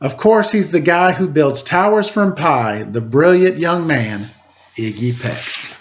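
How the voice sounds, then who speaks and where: Guy doing kids storytime, voice a bit on the high side, slightly muffled recording. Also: animated, slow pace, slight background noise. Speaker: storytime host reading to children. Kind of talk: children's story read-aloud and casual chat.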